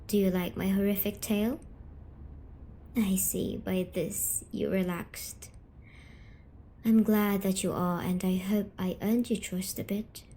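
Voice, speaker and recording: A woman voicing a gentle, teasing female sleep paralysis demon, speaking softly and soothingly in an ASMR story-telling roleplay. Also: mid-range voice, vocal fry, slight background noise. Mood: gentle coaxing. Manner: slow, soothing, coaxing, softly spoken.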